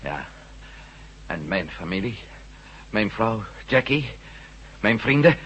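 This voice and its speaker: A man's voice: on the low side, very animated, slow pace, fairly bright tone, slightly rough, muffled recording, exaggerated voice. An actor in a radio drama playing a weary, despondent male prisoner, sullen and terse. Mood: despairing.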